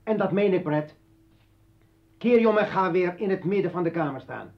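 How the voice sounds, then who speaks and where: A man's voice: sits high, animated, moderate pace. An actor playing a bitter, armed revolutionary poet and father in a radio play, taunting and commanding. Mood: threatening, commanding. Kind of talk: Dutch radio play acting. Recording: dull old radio recording.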